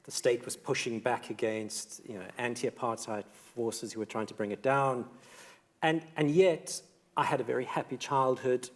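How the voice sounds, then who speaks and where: Middle-aged male panellist, South African accent. Reflective, measured, earnest delivery for a live debate. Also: mid-range voice, steady tone.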